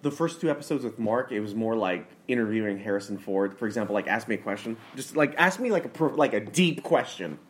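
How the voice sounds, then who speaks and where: A man co-hosting a casual podcast, relaxed and trailing off into a low murmur. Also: fast talker, few pauses. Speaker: podcast co-host. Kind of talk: casual chat.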